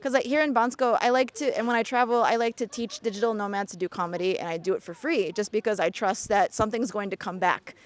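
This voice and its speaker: A woman's voice: mid-range voice, fast talker, few pauses. A woman interviewing a guest in a chatty, encouraging way, often backing him up with short replies. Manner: chatty, encouraging, laughs easily. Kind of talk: casual interview.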